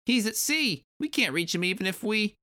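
A man voicing a small, toothy crocodile henchman, loud and excitable, in a storybook reading. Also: sits high, moderate pace, pitched above the performer's own voice. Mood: defensive, irritated. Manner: shouting, excitable.

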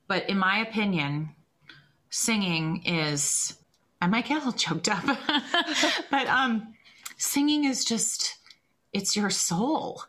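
Woman guest, singing teacher, bright and forceful tone, emphatic and opinionated. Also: lowish voice. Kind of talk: conversational interview.

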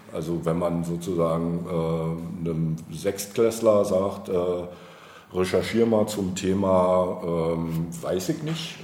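A man giving reflective, deliberate interview answers on policy, often pausing and searching for his phrasing. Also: low voice, dark tone. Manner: hesitant, deliberate, halting phrasing. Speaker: political candidate being interviewed. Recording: roomy, noticeable background noise.

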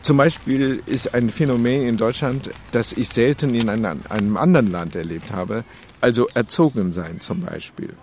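A man's voice: medium pitch, moderate pace, darkish tone, a little hiss or hum. A man from China giving an emphatic, reflective interview and punctuating his points with rhetorical questions. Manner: emphatic, rhetorical, with repeated tag "ja?".

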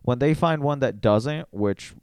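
A man's voice: mid-range voice, measured pace, a little mellow, clear, resonant tone, no room sound. A man chatting casually as a podcast guest, blunt and slangy, swearing freely.

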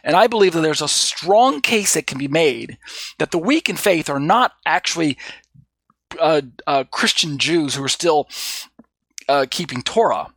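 A man's voice: a little high, lively intonation, unhurried, fairly bright tone, no room sound. Self-deprecating man teaching a Bible study. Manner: self-deprecating, stumbling over Greek readings, frequent fillers. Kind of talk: informal Bible study lesson.

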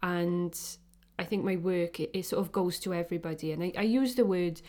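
A woman's voice: fairly low pitch, fairly quick, mellow. A Welsh woman, a photographer, answering interview questions in a casual, informal way with frequent fillers. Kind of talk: conversational interview.

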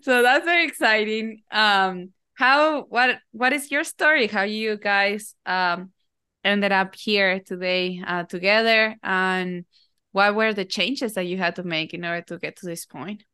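A woman's voice: mid-range voice, unhurried, clear, resonant tone, dry recording, clean studio sound. A woman hosting a podcast, welcoming guests and asking questions in an upbeat way. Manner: upbeat and warm, with some fillers. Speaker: podcast host.

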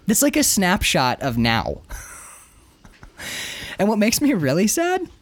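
Animated man, higher-pitched, talking comics. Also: slow, no room sound. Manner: emphatic, opinionated, profane. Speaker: co-host of a comic book discussion show. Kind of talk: animated casual discussion.

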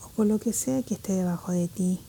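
A woman guiding a meditation in a calm, gentle, measured way, with a soft voice that turns breathy at phrase ends. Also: fairly low pitch, a little hiss or hum, poor audio. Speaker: meditation guide. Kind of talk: guided meditation narration.

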